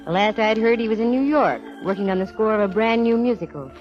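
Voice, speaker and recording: A woman's voice: fairly high pitch, lively intonation, quick pace, faint music behind, close to an everyday voice. An actress playing a wry, self-assured female private eye in a 1940s radio detective drama, with a cool, wisecracking, slangy delivery. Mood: wry narrating. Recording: muffled vintage 1940s radio recording.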